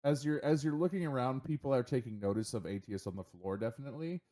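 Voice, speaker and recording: A man runs a tabletop roleplaying game as its game master. He talks casually in a middle pitch, haltingly thinking aloud as he narrates. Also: mellow.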